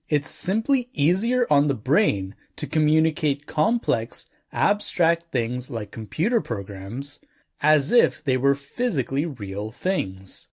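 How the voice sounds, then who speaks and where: Guy narrating a nonfiction audiobook, kinda husky, pitch moves around a lot, measured and teacherly. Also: darkish tone.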